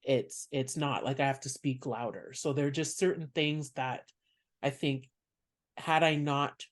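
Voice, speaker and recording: A man from Canada with a soft voice, a stand-up comedian speaking as a podcast guest in reflective, unhurried talk. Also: mid-range voice, steady tone. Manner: reflective, explains at length. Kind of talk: casual interview chat.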